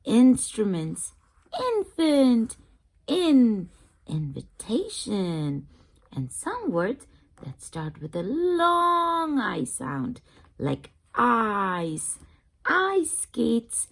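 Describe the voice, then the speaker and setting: A woman's voice: on the high side, wildly swooping pitch, drawn-out words, vocal fry. A woman reading a picture book aloud to young children in a teacherly manner, putting questions to the listeners. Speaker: children's read-aloud channel host.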